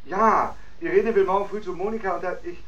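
A man's voice: sits high, fairly quick, fairly bright tone, muffled recording. An actor playing an irritable, pressing husband who schemes against his wife, in a naturalistic German radio drama. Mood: casual, coaxing.

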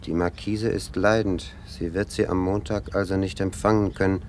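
A man's voice: sits low, steady tone, moderate pace, noisy background. An actor playing a naive, wounded young man from the provinces in a radio drama, hesitant and bewildered. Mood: crestfallen. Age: young adult. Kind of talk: literary radio drama acting.

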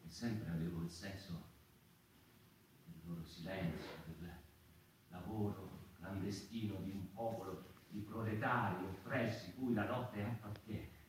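An actor playing an anguished, sleepless man haunted by mice, in a theatrical monologue with halting, deliberate phrasing and dramatic pauses. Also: low voice. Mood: tense, hushed awe. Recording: roomy, distant stage recording with faint noise.

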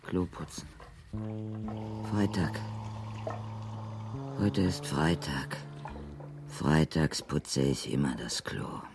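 Very deep, slow, gravelly weary carer. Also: male voice, exaggerated voice. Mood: dogged listing. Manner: muttering, repetitive listing of chores and clues. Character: weary, grumbling carer doing housework and crosswords. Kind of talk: radio play monologue.

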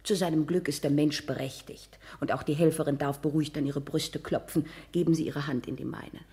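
A sly, low-voiced matchmaking neighbour. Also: female voice, steady tone, brisk, mellow. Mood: goading. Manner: insinuating, florid, emphatic. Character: sly, eager matchmaking neighbour woman, worldly and conspiratorial. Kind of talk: 1960s German radio play acting.

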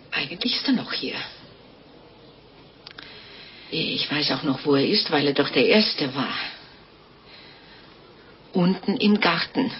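An actress in a 1950s German radio drama playing a French innkeeper's wife who confesses murders in a calm, guileless, chatty way. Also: female voice, sits low. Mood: matter-of-fact, reminiscing. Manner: matter-of-fact, guileless, chatty. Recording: dull, noisy old radio recording.

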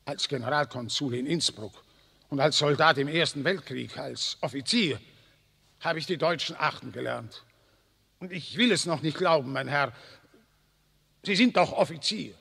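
Casting: ruhiger, gefasster alter Professor, knarzig und heiser, Hörspiel mit Nachhall. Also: male voice, fairly high pitch, moderate pace, creaky, pauses often, breathy edge, heavily acted. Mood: dignified. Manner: calm, steadfast, dignified. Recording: reverberant old mono radio play.

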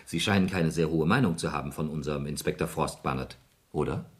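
An actor playing a loyal, seasoned, middle-aged police sergeant with pointed irony in a 1970s German radio play. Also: male voice, rumbling low, a little fast, slightly rough. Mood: probing, ironic. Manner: expressive, ironically pointed. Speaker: actor in a radio crime drama.

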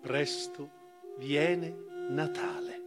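Higher-voiced man reading dramatically over violin music. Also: wildly swooping pitch. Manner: declamatory, with pauses and strong swings of emphasis. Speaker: actor reading literary prose on stage. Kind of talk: dramatic theatrical reading of a first-person memoir. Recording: live string music under the voice.

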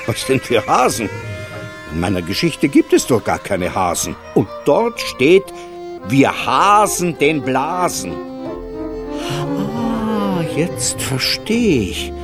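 A man narrating a fairy tale in a German radio play, theatrical and deliberate, wondering aloud. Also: fairly high pitch, wildly swooping pitch, music in the background, heavily acted. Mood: puzzled, wry. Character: expressive male storyteller of a fairy tale. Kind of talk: dramatic scripted storytelling, German radio play.

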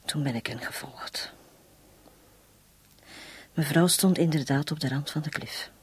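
Breathy, haunted woman confessing a crime. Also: sits low, exaggerated voice. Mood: grave, bitter. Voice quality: breathy, husky. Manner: halting, confiding, intense. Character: long-suffering household woman confessing to murder, bitter yet resolute. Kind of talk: radio drama acting.

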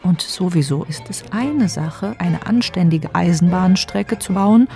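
A woman narrating a scripted radio play in a measured, wry way. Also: on the low side, gravelly, warm tone, soft background music.

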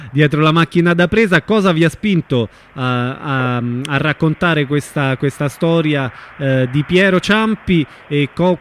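A man hosting an informal radio interview, asking questions and feeling for his wording. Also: fairly high pitch.

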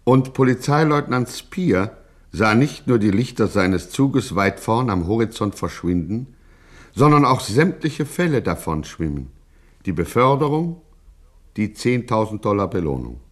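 A man's voice: fairly low pitch, sing-song, measured pace. A man narrating a satirical radio drama in a wry, theatrical, mock-epic style.

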